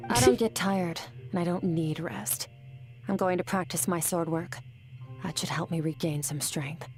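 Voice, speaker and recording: A woman voicing an aloof, self-reliant swordswoman in a video game, terse, deadpan and formal. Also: fairly low pitch, moderate pace, soft background music, lightly acted. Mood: matter-of-fact.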